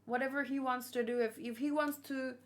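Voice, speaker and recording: A woman's voice: high-pitched, steady tone, brisk. A woman chatting casually and unscripted, thinking aloud and asking questions as she hosts a reaction video. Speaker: manga reaction host.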